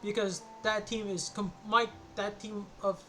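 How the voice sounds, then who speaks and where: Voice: medium pitch, flat delivery, unhurried, sharp tone, a little hiss or hum. A game streamer chatting casually on a livestream. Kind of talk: casual livestream chat with gameplay.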